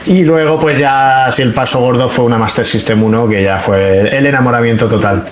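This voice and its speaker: A man's voice: medium pitch, fairly quick. Chatty Spanish man in an echoey room. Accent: Spain. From Spain. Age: 40s. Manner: colloquial, chatty. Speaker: interview guest, video game journalist. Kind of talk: casual interview, anecdotal chat. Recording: roomy, echoing sound.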